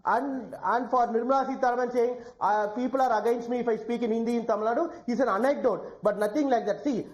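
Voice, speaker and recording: A woman speaking on a TV debate panel, explaining her party's position in a measured way. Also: on the high side, a little mellow, reverberant, low-quality recording.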